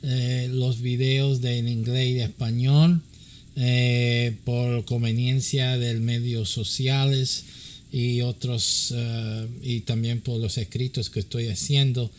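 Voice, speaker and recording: Man slowly speaking halting Spanish. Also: medium pitch, little pitch movement, a little mellow, slight background noise. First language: English. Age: middle-aged. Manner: halting Spanish with many fillers; switches between English and Spanish. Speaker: pastor and counselor to pastors. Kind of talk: informal teaching talk.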